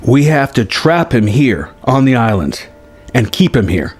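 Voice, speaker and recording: A man voicing a weary, resolute Jedi master in a story reading, explaining things in a measured, cryptic way. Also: medium pitch, sing-song. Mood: calm, foreboding. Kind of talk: acted dialogue within story narration. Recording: music behind the voice.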